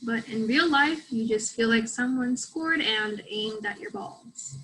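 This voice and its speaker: A woman acts out a guarded female international student in a stage drama reading. Her voice is somewhat high, with self-doubt under a tough front. Faint noise sits on the recording. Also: measured pace, fairly bright tone, rough recording. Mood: guarded, conflicted. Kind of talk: virtual staged play reading. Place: remote virtual reading over video call.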